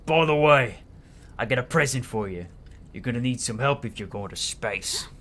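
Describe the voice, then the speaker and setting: Man doing a loud, cocky, flirty game rogue. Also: medium pitch, animated, moderate pace, natural voice. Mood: breezy.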